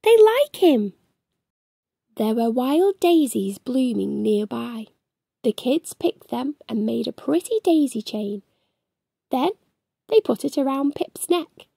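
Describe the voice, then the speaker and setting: A middle-aged woman hosting a children's storytime, reading aloud in an expressive, sing-song way. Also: fairly high pitch, slow pace, creaky, husky, dry recording, clean studio sound. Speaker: children's storytime host and reader. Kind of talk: animated read-aloud for young children. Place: small furnished room at home.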